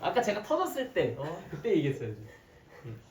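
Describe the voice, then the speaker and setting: A math teacher with a hoarse voice giving an interactive classroom lecture, playful and conversational, often posing questions to students. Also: mid-range voice, wildly swooping pitch, a little fast, a little mellow. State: hoarse, frequent throat clearing. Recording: echoey, distant mic, background noise.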